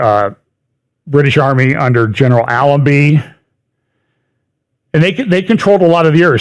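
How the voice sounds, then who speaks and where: A middle-aged man giving a conversational lecture over slides, stressing words by repeating them and dropping in wry asides. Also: medium pitch. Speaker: speaker giving a prophecy update talk.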